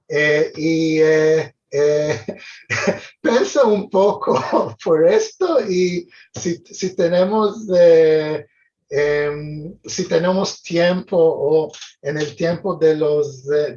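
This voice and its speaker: A male host speaks very slowly and hesitantly, filling his pauses with "eh". He sounds distant from the mic. The video call audio is dull and lo-fi. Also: a little high, darkish tone. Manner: hesitant, many fillers. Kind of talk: hosting an online talk.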